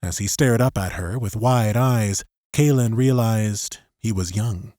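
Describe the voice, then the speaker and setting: A man's voice: lowish voice, a little slow, dark tone, dry recording, studio quality. A man reading scripted fiction as an audiobook narrator, in a dramatic storytelling style.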